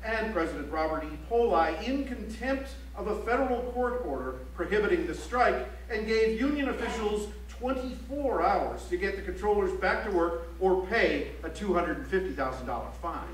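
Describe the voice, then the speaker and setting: Serious male reporter, high voice, stage news delivery; distant, echoey auditorium sound with faint hum. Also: expressive, moderate pace, few pauses. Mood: grave, emphatic. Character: serious newspaper reporter reciting strike news. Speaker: stage actor. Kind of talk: theatrical reading of news reports. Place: library auditorium stage, live play.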